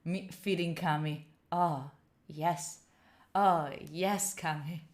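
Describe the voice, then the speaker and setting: A woman's voice: fairly low pitch, fairly even intonation, takes it slowly. A young woman with an Italian accent chatting casually on a live stream, rambling and giggling with lots of fillers. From Italy. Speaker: study streamer.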